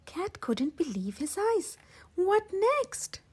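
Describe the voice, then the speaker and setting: High, animated woman reading a children's story. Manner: expressive, dramatic emphasis with drawn-out words. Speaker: children's storybook reader and channel host. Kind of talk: animated children's read-aloud narration.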